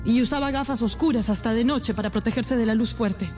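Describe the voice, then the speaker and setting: A woman's voice: on the high side, a little fast, dark tone, faint music behind, close to an everyday voice. An actress playing an anxious, naive and devoted young woman in a radio drama, speaking earnestly with hesitant repetitions. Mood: confiding. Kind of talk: Spanish-language radio drama acting.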